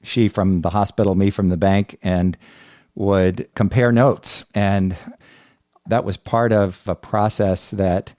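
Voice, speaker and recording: Man, low voice, warm mellow tone, earnest slow questions, dry sound. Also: flat delivery, dry recording. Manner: earnest, slow and gently halting. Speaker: podcast host. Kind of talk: interview questions.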